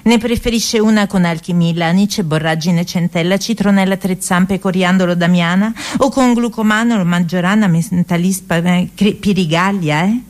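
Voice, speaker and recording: A woman puts on a refined master chef for a radio play. He is haughty and affected, a man who speaks slowly and savours every word with condescension. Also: lowish voice, natural voice. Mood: condescending, distracted.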